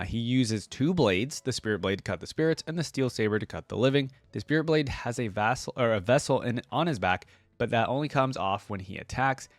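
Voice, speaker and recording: A man's voice: lowish voice, no room sound. A man giving casual commentary as he reacts to game voice lines, hosting his own video.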